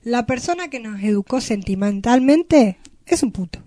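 A woman's voice: on the high side, animated, moderate pace, mellow, dry recording, studio quality. A woman with an Argentine accent chatting casually as a radio co-host, explaining things in an irreverent way. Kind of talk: casual chat.

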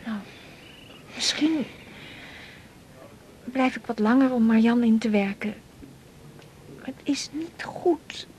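An actress playing a lovelorn, wistful young woman in a naturalistic radio drama, confiding in a halting, often breathy way. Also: on the high side, darkish tone, a little hiss or hum. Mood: heartbroken, nostalgic. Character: lovelorn, wistful young woman confessing hopeless love to colleague.

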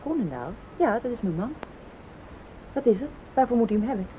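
An actress playing a worried, conscientious wife in a radio play, questioning and gently reproachful. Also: female voice, lively intonation, fairly quick, lightly acted. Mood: uneasy. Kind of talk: Dutch radio play acting. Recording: muffled phone-like old radio recording, rumbling hiss.

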